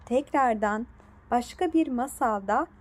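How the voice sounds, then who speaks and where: A woman narrating a scripted bedtime story in a deliberate manner for young children. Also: fairly high pitch.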